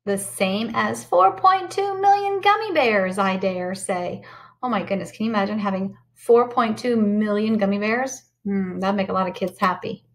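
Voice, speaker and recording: A woman's voice: fairly high pitch, a bit bright. A middle-aged American woman reading a picture book aloud to children and explaining it, in a sing-song way that stresses key words and rhymes. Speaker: primary school teacher reading aloud to children. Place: indoors at home, in front of a computer.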